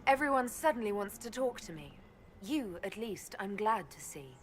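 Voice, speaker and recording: A woman playing a composed, direct heroine and leader in a fantasy video game, speaking in a measured way. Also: medium pitch, sing-song, faint music behind, natural voice. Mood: relieved.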